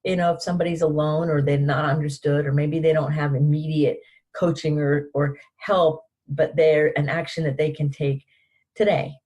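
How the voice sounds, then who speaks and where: A woman's voice: low-pitched, dark tone, husky. A woman hosting a podcast and asking long, rambling questions. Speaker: podcast host. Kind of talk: podcast interview.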